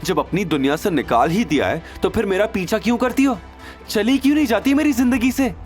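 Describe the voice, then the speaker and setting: A man voicing a defiant, indignant young woman who speaks forcefully in an audio drama. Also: high-pitched, lively intonation, a little fast, soft background music, pitched above the performer's own voice. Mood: exasperated.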